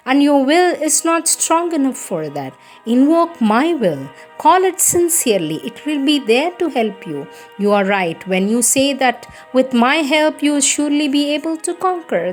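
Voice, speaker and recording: A woman giving a slow, reverent reading of devotional letters. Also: sits high, big pitch swings, soft background music. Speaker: reader.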